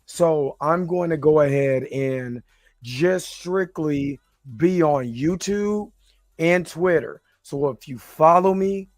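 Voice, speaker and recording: Slightly hoarse man, high-pitched, livestreaming. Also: slow pace, dry recording. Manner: informal, rambling, hesitant. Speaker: host of a livestream reaction show. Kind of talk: casual livestream talk.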